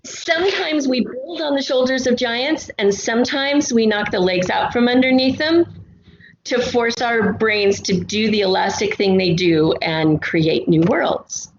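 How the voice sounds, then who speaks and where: A woman's voice: on the high side, measured pace. A middle-aged American woman chatting as an interview guest, explaining things in an expansive, storytelling way. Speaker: guest, audio drama writer. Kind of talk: casual interview chat. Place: untreated city apartment room. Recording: roomy, dull sound with faint noise.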